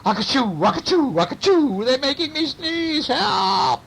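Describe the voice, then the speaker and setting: A man voicing a panicky storybook burglar who yells, pleads and sneezes in an exaggerated way. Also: very high-pitched, sing-song, a little slow, gravelly, slight background noise, voice pushed up, heavily acted. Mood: panicked.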